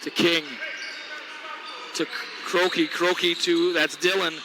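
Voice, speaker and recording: A man calls a high school basketball game in a high, gravelly voice. His voice sounds tired and worn as the night goes on. Crowd murmur and bouncing balls fill the noisy gym behind him. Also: slow pace. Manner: energetic, reads sponsor ads. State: voice weakening. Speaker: play-by-play announcer. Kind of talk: local sports commentary. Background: gym ambience, bouncing balls.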